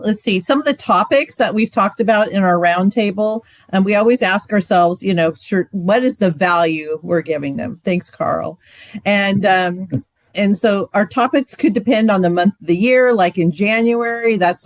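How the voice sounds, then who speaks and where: A woman's voice: on the low side, no room sound. A middle-aged woman giving a casual, chatty training talk with lots of fillers. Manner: chatty, loose, frequent fillers. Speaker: ministry trainer.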